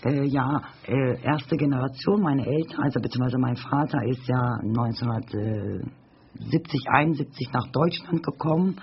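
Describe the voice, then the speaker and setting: A woman's voice: a bass voice, moderate pace, a little hiss or hum. Chatty woman in her forties. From Germany. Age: 40s. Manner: chatty, full of fillers and restarts while telling anecdotes. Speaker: studio guest. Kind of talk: casual storytelling chat. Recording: dull lo-fi radio recording.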